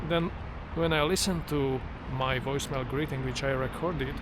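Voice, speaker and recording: A middle-aged man who speaks English as a second language, talking to the camera outdoors in an unhurried, reflective way with frequent restarts. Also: mid-range voice, steady tone. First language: not English. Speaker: vlogger. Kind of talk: casual walking vlog monologue. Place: outdoors near trees and traffic. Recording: outdoor traffic noise. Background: street traffic noise.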